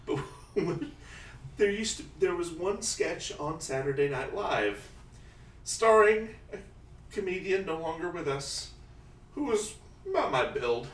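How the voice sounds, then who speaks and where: A man in his thirties in casual chat, animated and laughing often, slipping into exaggerated quoted voices. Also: a little high, takes it slowly, pauses often. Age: 30s. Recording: roomy echo, distant mic, faint hiss.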